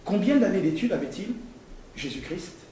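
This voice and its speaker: A man's voice: sits high, sing-song, moderate pace, warm tone. A middle-aged man from Congo with a Congolese French accent, answering interview questions in an earnest, emphatic way with some hesitant fillers. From Congo (DRC). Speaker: political candidate. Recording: roomy, distant, faint noise.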